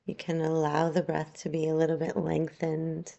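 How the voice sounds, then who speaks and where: Female meditation guide: low, creaky-edged voice, gentle and unhurried yoga nidra instruction, long pauses. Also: monotone, muffled recording.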